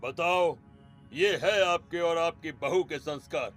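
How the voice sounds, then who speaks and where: A man voicing an indignant villager in an animated story, disapproving and accusatory as he shames others. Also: on the high side, slow, faint music behind. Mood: disgusted, accusing. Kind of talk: scripted Hindi animated story dialogue.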